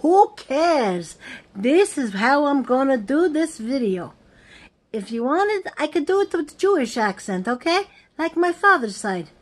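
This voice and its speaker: An older woman tells family stories in a put-on Italian-American accent, rambling from one memory to the next. Her voice sits high for a woman, and she talks straight to her viewers in a chatty, offhand way. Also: sing-song, a little slow. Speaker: vlogger, family storyteller.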